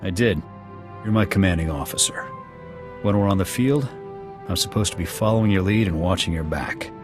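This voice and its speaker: A low, gruff male officer, played by an actor in a video game. He is blunt and loyal, speaking in clipped military phrases. Loud music runs underneath. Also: fairly quick, close to an everyday voice. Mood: guarded.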